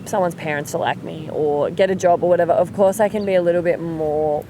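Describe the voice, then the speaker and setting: A woman's voice: fairly low pitch, fairly even intonation, fairly quick, noisy background. A woman hosting a podcast, chatting casually, emphatic and swearing freely. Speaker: podcast host.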